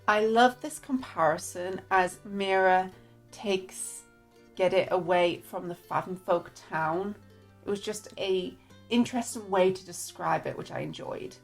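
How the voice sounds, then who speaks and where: A woman's voice: mid-range voice, measured pace, faint music behind. Chatty northeast English woman reviewing books. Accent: northeast English, mixed with other English accents. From northeast England. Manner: chatty, candid, reads quotes aloud. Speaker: book reviewer. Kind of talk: casual book review.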